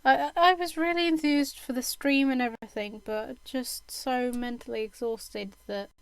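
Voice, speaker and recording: A young woman streaming while she sews, talking hesitantly with many pauses and fillers, her throat a bit croaky. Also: high voice, steady tone, no room sound. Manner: hesitant, lots of ums, self-deprecating laughs. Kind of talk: casual chat while sewing. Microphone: desk microphone.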